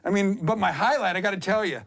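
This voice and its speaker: Male athlete guest, 50s, fairly high voice, rambling and excitable anecdotes with self-mockery. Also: fast. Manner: excitable, self-deprecating rambler who acts out quotes. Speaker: endurance athlete, interview guest. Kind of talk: casual, anecdotal interview storytelling.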